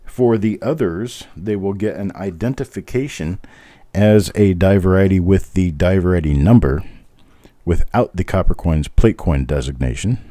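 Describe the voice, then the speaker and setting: A man with a very low, deep voice and a smooth, mellow tone hosts a live coin reveal and chats along in a relaxed way. He makes easygoing, self-deprecating jokes between coins. The recording is dry and close, with little room sound. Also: dry recording. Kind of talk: casual live-stream commentary.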